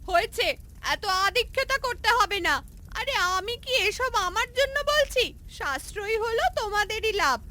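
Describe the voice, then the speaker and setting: A woman voicing a sharp-tongued, bossy village mother-in-law who fusses and scolds in a cartoon fairy tale. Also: very high-pitched, expressive, moderate pace, full character voice. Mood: scolding, bossy.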